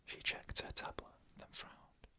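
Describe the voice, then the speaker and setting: Bright, breathy whispering ASMR storyteller. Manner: hushed, deliberate, short pausing phrases. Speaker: ASMR narrator. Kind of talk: whispered ASMR narration of scary stories.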